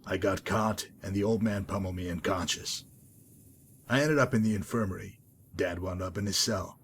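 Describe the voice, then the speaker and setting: Man acting a low-voiced, menacing wasteland hitman. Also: a little hiss or hum. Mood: grim reminiscing. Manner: measured, deliberate, coolly condescending. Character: menacing, calm male wasteland hitman with a bitter past. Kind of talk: dramatic video game mod monologue and dialogue.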